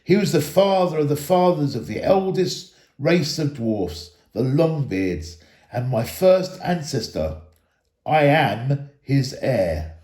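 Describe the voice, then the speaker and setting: A man's voice: on the high side, big pitch swings, takes it slowly, mellow, echoey room. A man voicing a proud, stern dwarf heir of an old line in a storybook, with formal, archaic phrasing.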